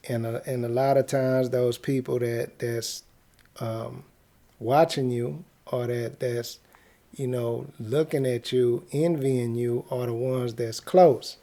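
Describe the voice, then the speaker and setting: A man hosting a radio show, giving casual relationship advice in a halting, reflective way with frequent hesitations and repetitions. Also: medium pitch, steady tone, mellow. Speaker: internet radio show host.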